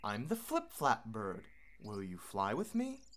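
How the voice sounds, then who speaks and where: A woman voicing friendly, chatty little storybook birds in a gentle, inviting singsong. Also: rumbling low, huge pitch swings, unhurried, slight background noise, full character voice.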